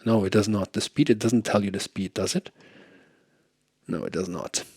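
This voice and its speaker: A man's voice: fairly low pitch, steady tone, normal speed, darkish tone, slightly rough, dry recording, studio quality. A man giving a casual product demo as a guitarist, thinking aloud in an informal way. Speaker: guitarist reviewing effects pedals.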